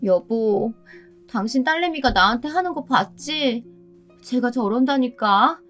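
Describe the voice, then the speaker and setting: A woman's voice: on the high side, expressive, measured pace, fairly bright tone, soft background music, voice pushed up. A woman voicing a scheming, theatrical middle-aged mother in a story, whiny when coaxing and haughty when giving orders. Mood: whiny, wheedling. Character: scheming middle-aged mother, wheedling husband, curt with outsiders.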